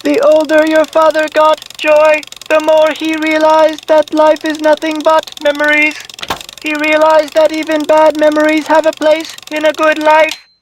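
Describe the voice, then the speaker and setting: High-pitched, quirky talking memory machine. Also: female voice, completely flat delivery, unhurried, slight background noise, poor audio. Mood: solemn, prodding. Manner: stilted, formal robotic phrasing with playful flourishes. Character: quirky talking memory machine, chirpy and programmed, riddle-giving. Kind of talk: video game character voice acting.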